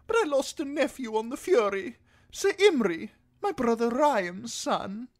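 A man voicing a pompous older highborn lord, a pampered prisoner with a screechy edge, in a fantasy audiobook. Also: expressive, unhurried. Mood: pious, self-absorbed. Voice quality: shrill, screechy when upset. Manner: pompous, theatrical, aristocratic.

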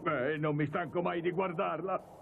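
An actor playing an excitable, jovial middle-aged neighbour and proud fan in a cartoon dub. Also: male voice, on the high side, moderate pace, creaky, phone-quality sound, a little hiss or hum. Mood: delighted. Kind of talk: Italian anime dub acting.

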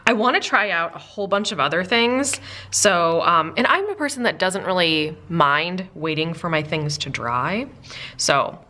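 A woman's voice: fairly low pitch, lively intonation, moderate pace, pushed and bright. A young woman with a slightly stuffed-up voice talks bubbly and chatty, full of likes and ums. She sits at a desk indoors under an overhead camera, testing pens in a casual planner vlog. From Minnesota, USA. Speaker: planner sticker vlogger. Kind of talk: casual chat.

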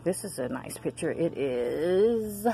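Chatty low-voiced woman vlogging. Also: takes it slowly, gravelly, soft background music. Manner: chatty, excited exclamations, addresses viewers as y'all and girl. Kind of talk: casual shop-with-me vlog. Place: large discount store aisles, indoors. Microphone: handheld phone camera.

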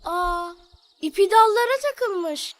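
A woman's voice: very high-pitched, animated, slow pace, noisy background, exaggerated voice. A woman voicing a sensible, helpful young boy, an older brother in a children's cartoon, explaining things simply. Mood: eager.

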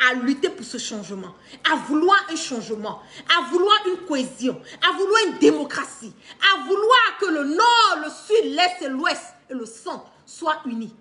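A middle-aged woman with an Ivorian accent giving an impassioned, unscripted political monologue to camera, repeating phrases for emphasis. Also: high voice. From Ivory Coast. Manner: emphatic, repeats phrases for stress, animated with hand gestures. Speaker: political commentator on a live stream. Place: indoor living room.

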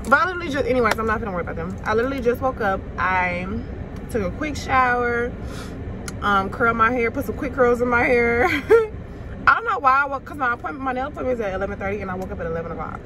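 Young woman, blunt profane chatter, fairly high voice, loud road noise, phone on the dashboard in a moving car. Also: lively intonation, fast talker. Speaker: vlogger. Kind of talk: casual vlog chat. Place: inside a car, driving. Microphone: phone camera on the dashboard.

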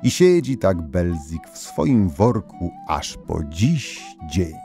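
A man narrating folk legends in an expressive, theatrical storytelling manner. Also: sits low, slow, faint music behind.

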